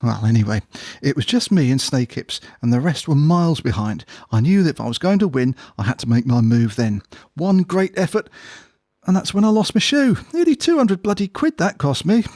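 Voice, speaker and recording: An earnest, gossipy bloke played by a man in a comic audio drama. His pitch moves around a lot under deadpan, incredulous banter, in a close, dry recording. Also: moderate pace, no echo. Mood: earnest storytelling. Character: matter-of-fact, gossipy male pub friend, comically earnest. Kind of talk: audio drama comedy dialogue.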